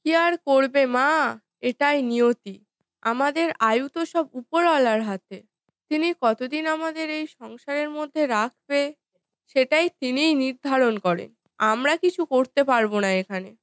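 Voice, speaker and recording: A woman playing a dutiful, gentle young daughter-in-law in a cartoon family drama, with a deferential, soothing manner. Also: high voice, unhurried, dry recording, studio quality. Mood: consoling.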